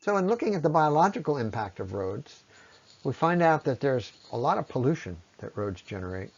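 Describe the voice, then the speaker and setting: Man giving a halting talk, muffled audio. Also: medium pitch, sing-song, vocal fry. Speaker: presenter, ecology lecturer. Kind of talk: explanatory slide talk, conversational.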